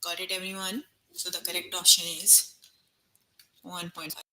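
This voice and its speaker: A woman's voice: on the low side, completely flat delivery, normal speed, sharp tone, slight background noise, low-quality recording. A young adult woman teaching a live online math lesson over a computer mic, stopping often to check that students follow. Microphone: computer mic on an online call.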